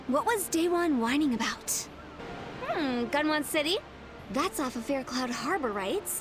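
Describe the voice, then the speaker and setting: Young female pirate marauder for a game: high-pitched, cocky, teasing, breezy. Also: very animated, a little slow, over music. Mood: cocky, amused. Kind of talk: game cutscene acting.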